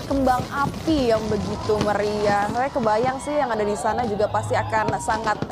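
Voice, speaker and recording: A woman's voice: on the high side, fairly even intonation, normal speed. A woman presenting live television, chatting enthusiastically with a co-host as she comments on celebrations. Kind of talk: live broadcast commentary and co-host chat on New Year celebrations. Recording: loud music behind the voice. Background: loud music.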